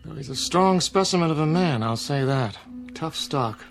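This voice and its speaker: An actor playing a measured, wry small-town doctor giving his findings in a classic television drama. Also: a little high, animated, soft background music. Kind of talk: 1960s television drama acting.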